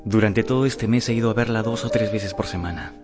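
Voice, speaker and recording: An actor playing an earnest, remorseful young romantic gentleman in a radio drama, speaking with dramatic sincerity. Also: on the low side, steady tone, brisk, natural voice. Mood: confessional, earnest. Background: music.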